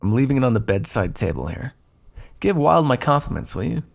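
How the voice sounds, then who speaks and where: A flirtatious male vampire, acted by a man, murmurs in an intimate ASMR roleplay. His voice is husky and breathy with a creaky fry, and it swings freely up and down as he teases. He comes across as smug and predatory, yet looks after his prey. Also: medium pitch, sing-song, fairly quick. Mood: teasing, smug. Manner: teasing, drawling asides with soft sighs and chuckles. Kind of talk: ASMR roleplay, intimate second-person acting.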